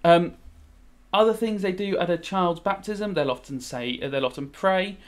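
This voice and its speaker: A man's voice: high-pitched, moderate pace. A British man in his thirties, a teacher giving casual revision commentary while gaming, rambling and thinking aloud as he goes. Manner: rambling, thinking aloud, switching between explaining and musing. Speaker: religious studies teacher.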